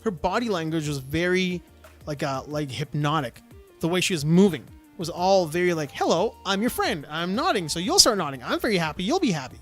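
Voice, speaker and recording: A man co-hosting a podcast, telling a story in casual chat, animated and full of fillers. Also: sits high, brisk, faint music behind. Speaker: podcast co-host.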